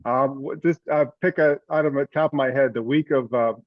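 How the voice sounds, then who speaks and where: A man of medium pitch leads an informal group discussion as chair. The audio is muffled and lo-fi, with very little room sound, as on a teleconference. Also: fairly even intonation, a little fast, no room sound. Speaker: meeting chair. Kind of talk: casual meeting discussion. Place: remote teleconference call. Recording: dull, dry teleconference audio.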